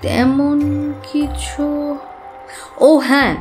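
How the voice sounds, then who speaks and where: Young female client, audio drama: high voice, earnest, trusting, troubled storyteller over music. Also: little pitch movement, extremely slow, darkish tone. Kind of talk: Bengali audio drama, recounting events.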